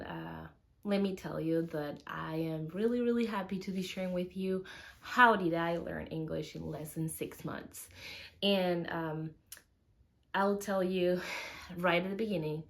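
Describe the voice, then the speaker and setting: A woman's voice: lowish voice, fairly even intonation, a little slow, creaky. A young woman from Uruguay whose first language is Spanish talks to camera in English with a Uruguayan Spanish accent, hesitating with many fillers and restarts and laughing often. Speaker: cooking channel host. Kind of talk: casual talk to camera.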